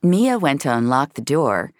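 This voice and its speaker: A woman's voice: rumbling low, sing-song, normal speed, no echo, studio quality. A woman narrating scripted audio fiction in a dramatic storytelling style.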